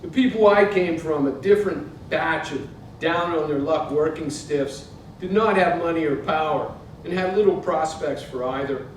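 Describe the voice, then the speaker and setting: A man in his sixties with an American accent, giving a literary reading to a live audience. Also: normal speed. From Pittsburgh, United States. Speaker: author reading from his book. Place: indoor museum event space. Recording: very echoey hall, distant mic, noisy rumble.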